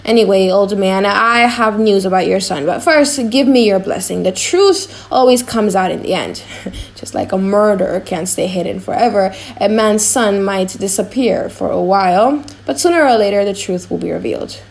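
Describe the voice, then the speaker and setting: A woman's voice: medium pitch, normal speed, creaky, lightly acted. A woman voicing a mischievous, cocky, chatty young male servant in a dramatized audiobook, teasing and mock-grand.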